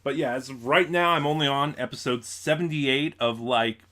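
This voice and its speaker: A man with a mid-pitched voice talks casually as a vlogger reviewing his purchases. He rambles through explanations and corrects his own wording as he goes. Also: moderate pace, sharp tone. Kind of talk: casual unboxing chat.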